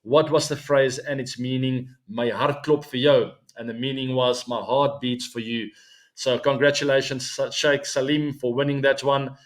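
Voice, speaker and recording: A man in his forties with a South African accent hosts his own cricket show, his pitch sitting right in the middle range for a man. He talks in a loose, friendly way, drops in plenty of ums, and turns to his viewers with asides. Afrikaans is his first language. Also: normal speed. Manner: chatty, relaxed, many ums and asides to viewers. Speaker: show host. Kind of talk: solo weekly cricket show.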